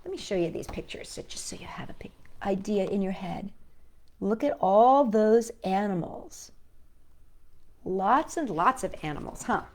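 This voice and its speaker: A woman's voice: mid-range voice, expressive, a little slow, darkish tone. A woman hosting a storytime, reading children's stories and poems expressively and speaking directly to young listeners. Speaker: storytime host and reader. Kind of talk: children's story read-aloud.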